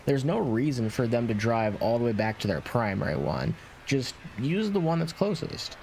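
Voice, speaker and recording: A man's voice: medium pitch, big pitch swings, moderate pace, vocal fry, a little mellow, slight background noise. A man giving casual, opinionated commentary on a game, talking informally. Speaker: gaming video creator. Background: game music.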